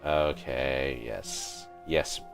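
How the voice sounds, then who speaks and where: A man's voice: a bass voice, fairly even intonation, extremely slow, gravelly. A man doing casual live game commentary, reacting and muttering to himself as he plays. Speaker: game livestreamer. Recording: game music in background.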